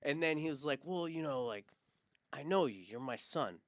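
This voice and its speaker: A man's voice: on the high side, normal speed, dry recording, clean studio sound. A man hosting a podcast who tells anecdotes in a casual, storytelling way. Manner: storytelling, animated when retelling. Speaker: podcast host. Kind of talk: casual chat.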